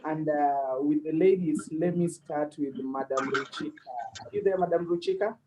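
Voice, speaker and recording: A man's voice: a little high, moderate pace, background noise. A man hosting an online panel, polite and formal, with many fillers. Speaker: host.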